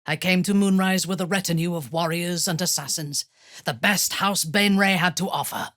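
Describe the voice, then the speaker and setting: A woman playing a haughty, ruthless drow warrior in a video game, with a husky, breathy voice and formal, imperious diction. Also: on the low side, steady tone, moderate pace, fairly bright tone, no echo, clean studio sound, lightly acted. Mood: cool, haughty. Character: haughty, ruthless female drow warrior, devout and coldly seductive. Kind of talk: video game character acting.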